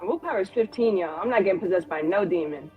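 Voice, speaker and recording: A woman's voice: on the low side, expressive, fast, phone-quality sound, soft background music. A woman playing a tabletop game, chatting casually in a self-deprecating, sweary way.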